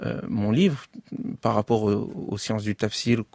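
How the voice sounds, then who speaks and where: A man speaking as an author and guest in an interview, thinking aloud in measured talk with many fillers and restarts, recorded close to the mic. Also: fairly low pitch, a little mellow, dry recording. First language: French.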